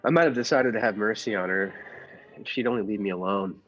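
A mild, henpecked husband who coolly plots murder, acted by a man in a radio play. His voice is low and slightly rough, hesitant in talk and measured in narration. The sound is dull, with music beneath it. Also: fairly bright tone. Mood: brooding, confiding.

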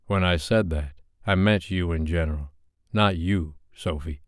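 A man's voice: deep voice, measured pace, no echo, clean studio sound. A man voicing a stern, dominant boyfriend in an audiobook, speaking in a firm, clipped way.

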